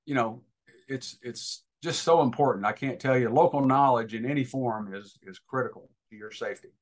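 A man's voice: medium pitch, moderate pace. A man giving informal instruction as a guest expert, talking in an emphatic, advisory way.

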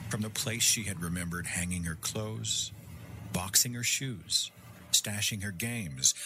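A man narrating a scripted ghost story in a measured, deliberate, suspenseful audiobook style. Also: sits low. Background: music and effects.

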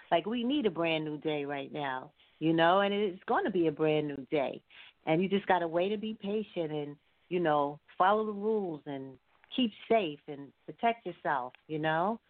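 A woman's voice: low-pitched, no echo. A woman talking casually and colloquially as an interviewed singer, with an encouraging, emphatic way of speaking. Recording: muffled phone-like line.

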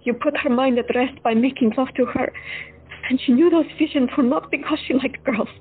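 A woman playing a flustered, high-voiced doctor. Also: a little fast, husky. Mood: tender. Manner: flustered, emotional. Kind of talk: amateur audio drama acting. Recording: tinny phone-like sound, faint music.

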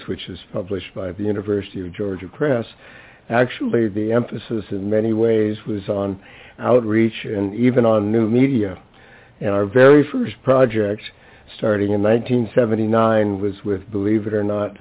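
A hoarse older man with a fairly low voice addresses a public event as its host. He is chatty and lightly humorous in an informal speech. The recording is thin and phone-like. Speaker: library center director introducing a speaker.

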